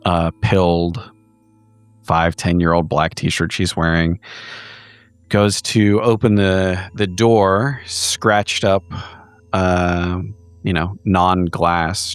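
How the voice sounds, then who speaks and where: A man narrating his character's actions in a tabletop horror game, descriptive and measured, with pauses. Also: deep voice, smooth, no echo. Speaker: player.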